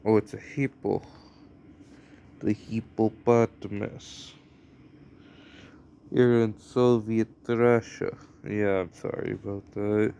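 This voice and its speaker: Slow, rambling young American man. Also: lowish voice, level tone, gravelly, dark tone, a little hiss or hum. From United States. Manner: rambling, hesitant, many fillers. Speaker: gameplay commentator. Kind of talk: casual gameplay commentary.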